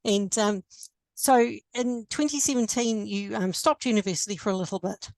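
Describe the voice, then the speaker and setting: A woman's voice: medium pitch, normal speed, a little mellow, dry recording. A woman interviewing a former student, speaking hesitantly with many ums and restarts. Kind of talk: conversational interview.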